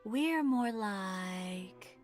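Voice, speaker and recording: Dahlia, a cool enigmatic schemer. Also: female voice, mid-range voice, animated, a little mellow. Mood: cool, knowing. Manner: measured, cool and knowing. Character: poised, enigmatic adult woman schemer in a game. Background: faint game music.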